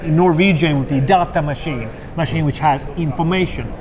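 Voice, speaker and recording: A man with a Finnish accent, a native Finnish speaker, talking as a guest in an interview, animated and musing. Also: on the high side, a little fast, a crowd in the background. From Finland. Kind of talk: conversational interview.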